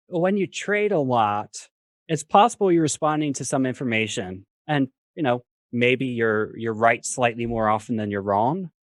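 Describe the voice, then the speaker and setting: A man speaking as a guest expert on a video call, explaining step by step in a measured, academic way with worked examples. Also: mid-range voice, no echo. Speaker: finance professor, guest expert. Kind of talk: explanatory talk on a livestream.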